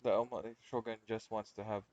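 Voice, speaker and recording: A man's voice: on the low side, level tone, fast talker, darkish tone, dull audio, slight background noise. A man giving casual commentary on a video game playthrough, with game music behind him. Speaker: game streamer.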